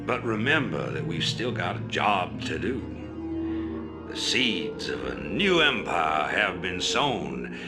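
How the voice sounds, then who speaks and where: Sci-fi game tyrant: deep, oratorical, imperious, loud score underneath. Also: male voice, very animated, exaggerated voice. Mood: smooth, rallying. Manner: grandiose, measured, oratorical. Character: imperious, ruthless middle-aged male rebel leader turned would-be emperor. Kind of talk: sci-fi game cutscene acting. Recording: loud orchestral music behind.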